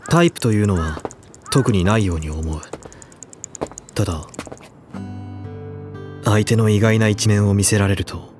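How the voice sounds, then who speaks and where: Deep serious anime schoolboy done by a guy, reserved n kinda stiff, very earnest, clear music behind him. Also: a little slow, long pauses, close to an everyday voice. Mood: reserved, calm. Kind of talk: anime audio drama dialogue and letter reading.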